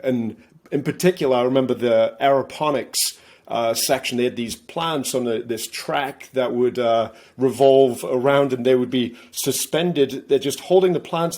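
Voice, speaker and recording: A man in his thirties from England with an English accent, a guest talking casually in a halting way, full of restarts and fillers, over a video call from home. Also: medium pitch, a little mellow. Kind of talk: casual interview. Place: home room, indoors.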